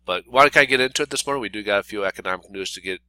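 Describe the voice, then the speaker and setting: Low bright-toned congested man. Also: very fast, clean tone, low-quality recording. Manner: casual, rambling, many fillers and restarts. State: congested from a cold. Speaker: mortgage planner giving a daily market report. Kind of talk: unscripted solo market commentary over slides.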